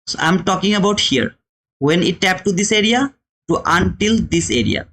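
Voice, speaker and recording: A man's voice: sits high, animated, normal speed, a bit bright. A man with a Bangladeshi accent hosting a live trading lesson, speaking emphatically to viewers, repeating phrases and posing rhetorical questions. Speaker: trading teacher and livestream host. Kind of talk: live instructional commentary, talking to viewers.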